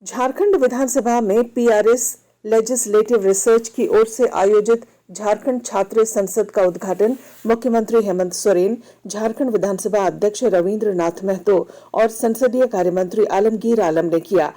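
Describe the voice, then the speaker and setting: A woman newsreader delivers a scripted radio bulletin in a formal, measured way. Her voice is mellow and relaxed, sitting at a medium pitch. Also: low-quality recording. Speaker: news reader.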